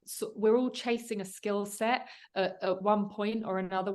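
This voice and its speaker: A woman's voice: fairly low pitch, darkish tone. A woman commercial solicitor, a guest on the show, explaining in an earnest, conversational way with frequent fillers and restarts. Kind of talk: conversational interview.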